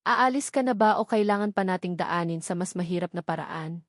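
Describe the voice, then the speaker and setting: A woman's voice: fairly low pitch, brisk, no echo, studio quality, lightly acted. Woman voicing a curt, menacing thug boss. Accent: Filipino. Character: menacing male thug leader, curt and mocking. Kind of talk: audiobook character dialogue.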